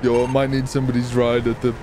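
A man's voice: medium pitch, completely flat delivery, fast talker, a loud crowd. A man chattering live through a roleplay game on voice chat, exclaiming and swearing as he reacts aloud to the action. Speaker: gamer, channel host.

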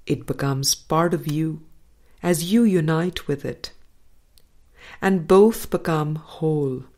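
A woman reading a spiritual book aloud in a measured, deliberate manner, pausing between phrases. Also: deep voice, a little mellow. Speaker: audiobook reader.

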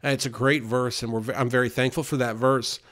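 A man's voice: medium pitch, fairly even intonation, a little fast, fairly bright tone. A man preaching an informal sermon in an earnest way, with many fillers and restarts.

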